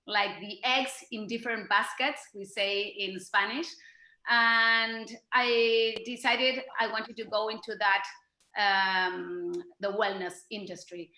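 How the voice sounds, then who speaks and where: Bright-voiced woman in her forties. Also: a little high. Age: 40s. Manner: halting, many fillers. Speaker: business owner presenting. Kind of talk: business pitch presentation. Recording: echoey room.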